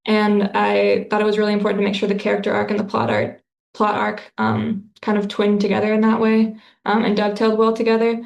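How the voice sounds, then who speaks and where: A woman's voice: medium pitch, completely flat delivery, brisk, gravelly, darkish tone, roomy sound. A woman author answering interview questions in a casual, thoughtful way, with lots of fillers and restarts.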